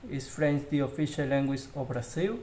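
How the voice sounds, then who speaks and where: A man whose first language is Spanish, slowly reading English question-and-answer drills aloud as a learner, with small grammar slips. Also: mid-range voice, warm tone, slight background noise. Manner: slow, careful practice reading with slips.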